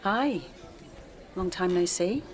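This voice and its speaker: A woman's voice: fairly low pitch, animated, slow pace, some chatter behind. An actress playing a reserved middle-aged English mother in a naturalistic audio drama, subdued and guarded. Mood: polite, guarded. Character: reserved teacher, mother of a teenage son.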